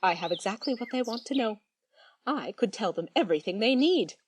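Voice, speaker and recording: A woman's voice: on the high side, animated, brisk, a little hiss or hum, natural voice. A woman playing a tipsy, self-satisfied, gossipy country lady in an audio drama, chatty and theatrical. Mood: gloating, tipsy. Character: Mrs Frankland, tipsy, gleefully litigious, gossipy country lady.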